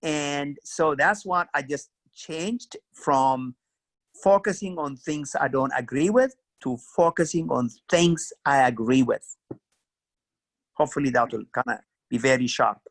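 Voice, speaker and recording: A man's voice: on the high side, unhurried, no room sound. A middle-aged man with an Ethiopian accent, earnestly telling stories about his career in an interview, peppered with "you know.". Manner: earnest, storytelling, frequent "you know" and "kinda". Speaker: career mentor guest.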